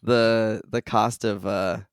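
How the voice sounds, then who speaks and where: A hoarse, gravelly-voiced man in his forties, hosting a casual podcast chat and laughing often. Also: fairly low pitch, fairly even intonation, takes it slowly, no room sound, clean studio sound. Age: 40s. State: hoarse from coughing. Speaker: podcast host.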